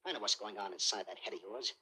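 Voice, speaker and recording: Brash, raspy hard-boiled private eye. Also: male voice, lowish voice, fast, sharp tone, exaggerated voice. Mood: indignant boasting. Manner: slangy, tough-talking, needling. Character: cynical, brash private detective, cocky and thin-skinned. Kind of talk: vintage radio suspense acting. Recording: vintage radio drama recording.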